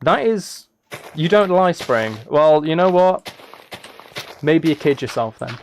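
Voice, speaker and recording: A man chatting casually on a gaming livestream, rambling haltingly with playful, mock-serious dry humour. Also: fairly high pitch, slight background noise. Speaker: live streamer.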